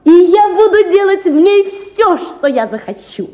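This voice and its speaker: A woman's voice: very high-pitched, big pitch swings, measured pace, highs cut off, exaggerated voice. A woman playing a spirited, dreamy young lady idealist in a theatrical radio drama, effusive and self-assured. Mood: eager, assertive.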